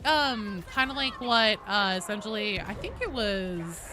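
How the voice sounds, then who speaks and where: A woman's voice: medium pitch, big pitch swings, a little slow, noisy background. A woman in her late thirties chatting casually on a game livestream and reading out viewer messages, informal and sweary. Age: late 30s. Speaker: game streamer.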